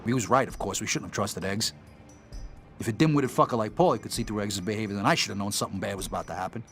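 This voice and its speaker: An actor playing a bitter, foul-mouthed mobster, narrating a video game story in gruff, cynical street slang. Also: male voice, lowish voice, fast talker, music in the background, close to an everyday voice. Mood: bitter, wary.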